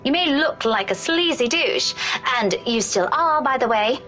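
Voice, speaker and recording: A woman's voice: high-pitched, expressive, measured pace, pushed and bright, heavily acted. An actress playing a blunt, sarcastic young woman in a game. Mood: teasing. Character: sharp-tongued young woman, blunt and teasing. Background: faint game music.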